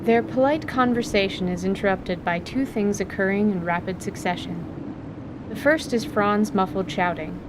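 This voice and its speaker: A woman reading scripted story narration for an audio drama in a measured, articulate way. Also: mid-range voice, noisy background.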